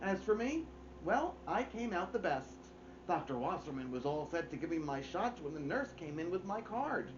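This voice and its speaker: A man in his thirties hosting story time, reading a children's book aloud with theatrical emphasis and expressive pauses. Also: high-pitched, lo-fi sound, noisy background. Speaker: story-time host and reader. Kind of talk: animated children's audiobook-style read-aloud. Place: small furnished room at home, indoors.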